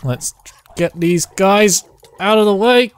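A man's voice: high-pitched, clear, resonant tone, dry recording. Man thinking aloud during gameplay. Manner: thinks aloud in short, halting phrases. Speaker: gaming video host, player. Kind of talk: casual co-op gameplay commentary.

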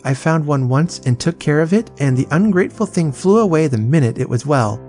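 A man's voice: on the high side, expressive, a little fast, darkish tone, soft background music, voice pushed up, natural voice. A man putting on a sulky little girl.

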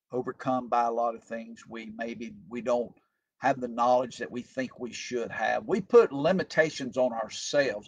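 An older man, a pastor, giving an earnest, plain-spoken sermon from a home room, recorded on a webcam at desk distance. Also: mid-range voice. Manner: earnest, plain-spoken, often restarts words. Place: home room with tall shelves, indoors.

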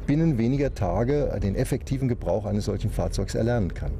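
A man's voice: lowish voice, lively intonation, a little fast, vocal fry, dark tone, slight background noise. A man giving explanatory interview answers as an expert, recorded aboard a boat.

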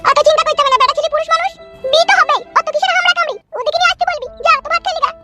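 A nagging cartoon village mother with a rural West Bengal accent. The voice is extremely high and squeaky, snappish and rushed, with music behind it. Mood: brisk, reassuring. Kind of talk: comic cartoon dubbing.

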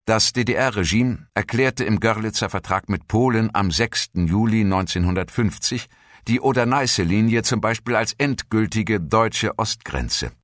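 A man with a low voice delivers scripted documentary narration. He reads formally and in measured phrases. The sound is dry and close, with hardly any room around it. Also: a bit bright, no echo.

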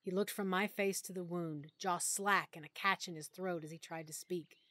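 A woman narrating a full-cast audiobook in first person, with expressive, clear storytelling. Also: fairly low pitch, moderate pace, dry recording. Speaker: narrator and lead character.

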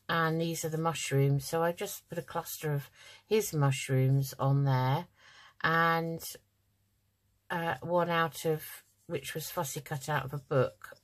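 A woman with a low, slightly croaky voice talks through a craft project. She is chatty and informal, thinking aloud as she works at her table. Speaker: craft channel host. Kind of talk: casual craft tutorial, talking while working.

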